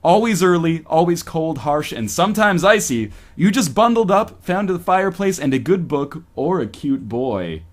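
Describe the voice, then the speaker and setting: A man's voice: on the high side, big pitch swings, moderate pace, few pauses. A man reading a story aloud on a stream in a playful, mock-dramatic way with joking asides.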